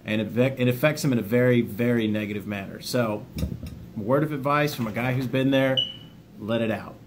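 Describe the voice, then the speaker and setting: A man with a mid-pitched voice hosts an informal video, speaking plainly and directly to his viewers. His manner is folksy, with jokey asides, and there is a faint rumbly noise behind him. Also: fairly quick. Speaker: video host, army veteran sharing self-help lessons. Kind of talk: informal video talk, partly reading a book overview.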